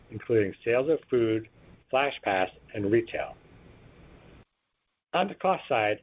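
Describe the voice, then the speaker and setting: Over a thin, phone-quality conference line, a man reads a prepared financial report. His voice is medium in pitch with a husky, unpolished edge. He delivers it as a company executive would, formally and in a businesslike, measured way. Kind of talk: scripted earnings call presentation, read aloud.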